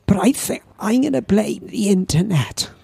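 A man in his seventies with a Welsh accent and a croaky old voice, chatting as a podcast guest and telling lively stories that repeat words for effect. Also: high voice, normal speed, dry recording. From Wales. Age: 70s. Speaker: guest, veteran surfer. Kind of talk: casual anecdotal chat.

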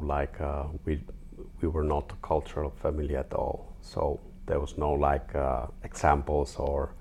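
Very deep-voiced Finnish man. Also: dark tone, slight background noise. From Finland. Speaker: interview guest, artist. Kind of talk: casual interview talk. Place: artist's studio.

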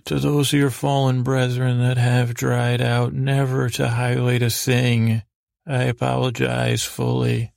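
Male podcast host for sleepy, mock-serious monologues: heavy vocal fry, even intonation, halting and meandering delivery. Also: mid-range voice, no room sound, clean studio sound. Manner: meandering, halting, repeating half-words. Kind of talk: rambling bedtime monologue to help listeners sleep.

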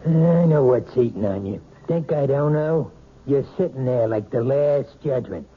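An actor playing a domineering, bitter husband who runs a trucking company, in a 1970s radio drama. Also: male voice, medium pitch, measured pace, husky. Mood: bitter, bullying. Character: bullying trucking boss husband, man, middle-aged. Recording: dull old radio broadcast with faint hiss.

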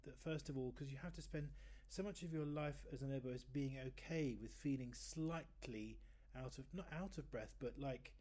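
Man in his fifties, fairly high voice, chatty, rambling, self-correcting. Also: normal speed, hardly any pauses, darkish tone. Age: 50s. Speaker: guest oboist. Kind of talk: casual interview chat.

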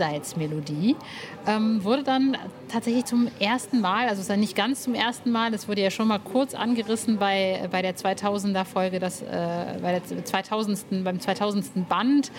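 A woman's voice: medium pitch, a little hiss or hum. A woman interviewing in a casual chat, informal and enthusiastic. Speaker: podcast interviewer.

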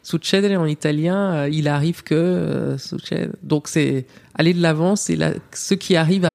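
A middle-aged woman, a TV journalist, speaking reflectively in an interview. She often pauses with fillers and restarts her sentences. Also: low voice, creaky, darkish tone. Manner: hesitant, many fillers and restarts.

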